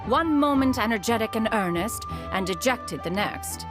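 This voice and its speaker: A lofty, archaic-speaking immortal woman, voiced by an actress, proud of her inventions and curt with others. Her voice swings widely in pitch, with faint music behind. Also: lively intonation, moderate pace, lightly acted. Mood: haughty. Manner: archaic and formal, calls herself "one", haughty. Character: aloof ancient female immortal inventor, proud and formal. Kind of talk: game dialogue. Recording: soft game music behind.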